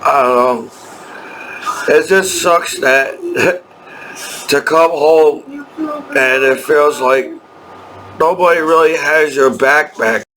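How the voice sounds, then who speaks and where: A man in his thirties talking in a rambling personal vlog, halting and repetitive with many restarts. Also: on the high side, lively intonation, a bit bright, slight background noise, poor audio. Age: 30s. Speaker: vlogger.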